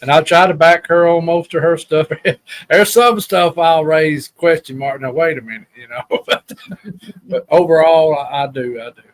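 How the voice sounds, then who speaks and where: A man's voice: on the high side, fairly even intonation, moderate pace, no echo. A man from Alabama with a Southern accent, talking as a guest in a casual, rambling, plain-spoken way. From Alabama, USA. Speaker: podcast guest. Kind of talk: casual reminiscing chat.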